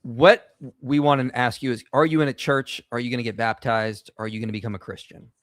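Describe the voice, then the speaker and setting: A man's voice: mid-range voice, fairly even intonation, fairly quick, no echo. Confident male call-in host, dry sound. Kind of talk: apologetics call-in discussion.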